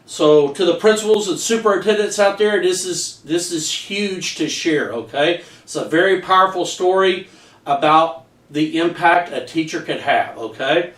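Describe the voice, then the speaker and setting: A man's voice: sits high, normal speed, fairly bright tone. A middle-aged man, a teacher earnestly reading his own story aloud and stumbling over some words. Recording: echoey room, distant microphone.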